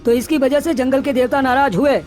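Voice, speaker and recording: A woman's voice: sits high, fairly even intonation, brisk, a little mellow, faint music behind, pitched above the performer's own voice, close to an everyday voice. A woman voicing a bossy, scheming male village headman in a cartoon, hectoring and domineering. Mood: bossy, warning.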